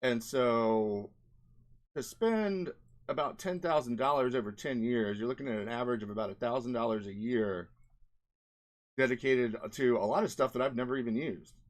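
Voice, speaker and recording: A man's voice: mid-range voice, normal speed. A man narrating a casual screen-share vlog as an indie game developer, rambling conversationally and often checking in with "right?" and "you know".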